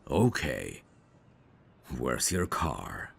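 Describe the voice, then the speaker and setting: A man acting out a dangerous, suave mafia boss for an ASMR roleplay, with an extremely deep voice that creaks with gravel. He takes his time, drawing words out very slowly in a cocky, flirtatious, teasing way. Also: big pitch swings, heavily acted. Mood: amused, concerned. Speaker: voice actor.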